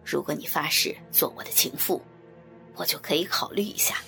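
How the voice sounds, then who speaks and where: Lady doing a creepy menacing guy for an audiobook, low n raspy, mocking, kinda sharp edge. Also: faint music behind, full character voice. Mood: mocking, coercive. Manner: slow, taunting delivery. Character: lecherous, menacing male vegetable-shop villain, mocking and coercive.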